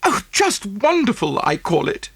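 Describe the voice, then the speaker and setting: A man's voice: high-pitched, wildly swooping pitch, unhurried, vocal fry, fairly bright tone, slightly rough, pitched above the performer's own voice, heavily acted. A man voicing a wealthy middle-aged wife, effusive and pleased with herself, in an audiobook. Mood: gushing triumph. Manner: effusive, triumphant.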